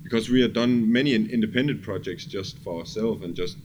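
A man's voice: on the low side, brisk, a little mellow. A man with a Danish accent, a music producer casually recalling his work in an interview in non-native English. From Denmark. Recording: clearly noisy background ambience.

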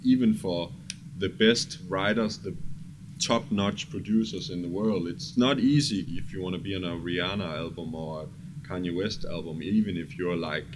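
A man with a fairly low voice and a Danish accent talks in a casual interview. He is earnest and discursive, swearing now and then. There is clear ambient noise around him. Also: normal speed. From Denmark. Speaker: music producer and songwriter, interview guest.

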